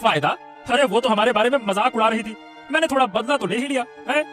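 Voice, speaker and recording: A man voicing a cocky, quarrelsome, mischief-loving husband in a cartoon story, gloating and dismissive with drawn-out exclamations. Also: very high-pitched, music in the background. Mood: dismissive, defensive. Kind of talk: Hindi cartoon story dialogue.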